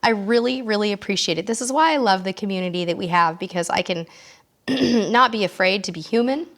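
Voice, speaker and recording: A middle-aged woman hosting a casual live stream, chatty with her viewers, her voice hoarse and worn from losing it, often clearing her throat. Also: medium pitch, brisk. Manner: chatty, warm toward viewers, reads out comments. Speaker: cruise show host.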